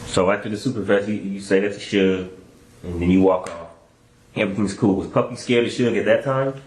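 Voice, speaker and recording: A man's voice: lowish voice, a little fast, a little mellow. An American man working as a police interrogator, asking colloquial, probing questions in an informal way. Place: indoor police interview room. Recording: echoey, noisy interrogation room audio.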